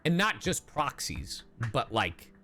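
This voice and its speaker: Male streamer: very animated, mid-pitched, loose and playful, faint game music behind. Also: slow. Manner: playful, profane, hums to himself. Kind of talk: casual livestream commentary, reading game text aloud.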